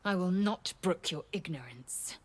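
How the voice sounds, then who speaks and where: Haughty, slow-speaking alien warrior woman. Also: on the low side, lively intonation. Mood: haughty, didactic. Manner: imperious, clipped, disdainful, formal. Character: haughty, severe young female alien warrior, githyanki. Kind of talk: scripted video game character acting.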